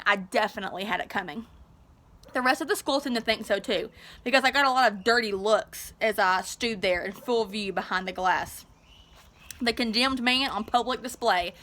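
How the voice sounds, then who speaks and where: Woman teacher, expressive storybook narration, bright crisp-edged tone, slightly high pitch, animated, informal. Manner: animated, informal asides to listeners. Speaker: teacher reading aloud.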